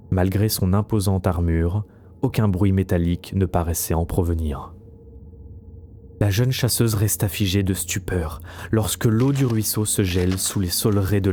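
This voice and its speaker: A man's voice: deep voice, mellow, slight background noise. A man narrating a scripted fantasy audio story as a storyteller. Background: faint music.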